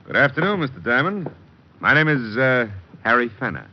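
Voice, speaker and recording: A man's voice: medium pitch, big pitch swings, normal speed, muffled recording. An actor playing a racketeer posing as a businessman, formal and polite, in an old-time radio crime drama. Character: smooth-mannered male racketeer posing as businessman. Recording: noisy, band-limited old radio recording.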